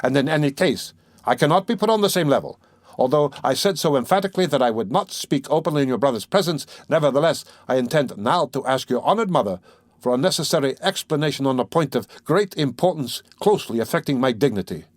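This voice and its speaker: A man's voice: medium pitch, lively intonation, quick pace, no room sound, studio quality, pitched above the performer's own voice, lightly acted. A man reading aloud, playing a pompous, touchy suitor who speaks stiffly and formally. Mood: pompous huffy. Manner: huffy, sententious, stiffly formal. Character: pompous, touchy middle-aged male suitor.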